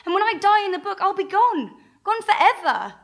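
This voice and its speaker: A woman's voice: extremely high pitch, big pitch swings, a little fast, exaggerated voice. A woman playing a plucky, defiant adventure heroine in a radio play, with a dry sarcastic way of talking. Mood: hurt, insistent.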